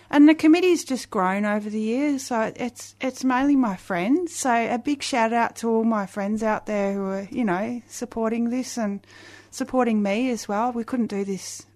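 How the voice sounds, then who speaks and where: A woman with a loud voice, a guest on a radio interview, talking eagerly with many restarts and fillers. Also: mid-range voice. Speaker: guest, community festival organizer.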